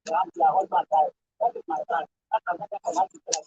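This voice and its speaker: A man arguing forcefully in a heated radio discussion. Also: high voice, animated, quick pace, a little hiss or hum. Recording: dull, band-limited radio sound.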